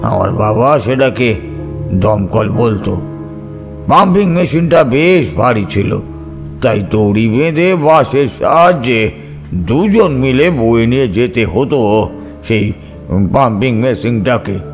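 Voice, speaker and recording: A man's voice: medium pitch, lively intonation, takes it slowly. A man reading a scripted audio story as its narrator. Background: soft background music.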